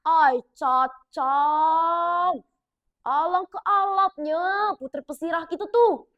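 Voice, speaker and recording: A female storyteller voicing two brash young village men who banter loudly with a South Sumatran Malay accent. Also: very high voice, muffled recording. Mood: boastful. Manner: brash, boisterous, fast banter.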